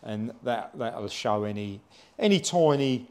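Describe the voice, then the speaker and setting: A man in casual chat as a co-host and car body repairer, explaining things in a plain, halting way. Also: medium pitch, expressive, darkish tone.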